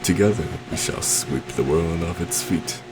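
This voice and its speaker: A man's voice: low-pitched, normal speed, noisy background, close to an everyday voice. A man playing a suave, possessive stage magician in an ASMR roleplay, theatrical and courtly, coaxing sweetly with a menacing undertone. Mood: smug, coaxing. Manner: theatrical, courtly, soft coaxing with terms of endearment.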